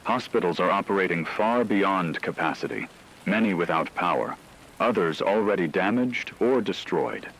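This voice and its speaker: A man playing a grave radio news anchor, delivering formal, deliberate scripted disaster reports over rain-like noise. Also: low-pitched, a bit bright, poor audio. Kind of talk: scripted dramatic news narration.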